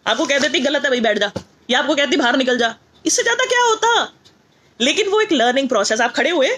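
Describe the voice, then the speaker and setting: A middle-aged woman with a North Indian accent speaks in a high voice as a motivational teacher. She mixes Hindi and English, repeats key phrases for emphasis and poses rhetorical questions to her learners. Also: expressive, fast, a bit bright.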